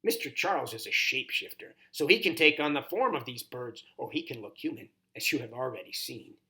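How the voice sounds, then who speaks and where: A man's voice: medium pitch, lively intonation, a little fast, pushed and bright. A man voicing a wise horned toad mentor in a children's storybook, grave and instructive with somber warnings. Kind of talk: audiobook character reading.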